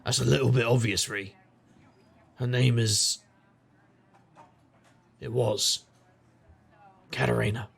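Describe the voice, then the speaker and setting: Man as a very gravelly, roguish private eye. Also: mid-range voice, level tone, slow pace, long pauses, heavily acted. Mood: weary, wry. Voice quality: gravelly, husky. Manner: wry, world-weary wisecracking. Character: gruff, roguish, hard-drinking male private investigator narrator. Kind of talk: noir audio drama narration and dialogue.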